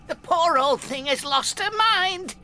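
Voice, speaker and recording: A woman playing a male talking cat guide in an audio drama, grave, formal and measured. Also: very high-pitched, animated, pushed and bright, slight background noise, heavily acted. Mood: grave pity.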